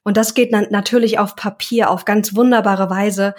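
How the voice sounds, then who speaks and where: A woman's voice: medium pitch, steady tone, moderate pace. A young woman, a self-employed coach, talking reflectively in a casual interview and now and then searching for words.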